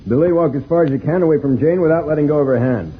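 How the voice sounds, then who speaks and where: A calm male space-adventure leader, acted by a man in a vintage radio serial. His voice sits fairly high and he speaks in a measured, instructive way. The recording is thin and tinny, with faint noise. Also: natural voice. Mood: directing, focused. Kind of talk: old-time radio serial acting.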